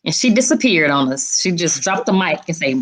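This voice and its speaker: A woman's voice: on the low side, very animated, brisk. A woman hosting an upbeat interview show in an informal, chatty way, often repeating short affirmations. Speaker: show host.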